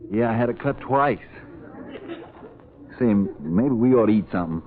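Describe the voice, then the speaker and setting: A man's voice: fairly low pitch, expressive, normal speed, lightly acted. A man acting as an earnest country farm boy in an old radio comedy. He is folksy and guileless, taking everything literally. The recording is dull and vintage. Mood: shy, friendly. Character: earnest naive country cousin, a pig farmer. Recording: muffled vintage radio recording, faint noise.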